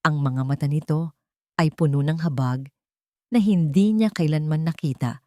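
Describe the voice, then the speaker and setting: Low-voiced woman narrating, dry and close. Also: mellow, dry recording. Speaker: story narrator. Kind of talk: scripted dramatic narration of a love story.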